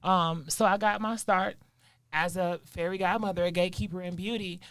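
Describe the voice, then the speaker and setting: A woman's voice: lowish voice, fairly even intonation, moderate pace, dry recording. A woman from Detroit, a guest on a podcast, telling her story in a candid, emphatic way. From Detroit, Michigan. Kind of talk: casual podcast conversation.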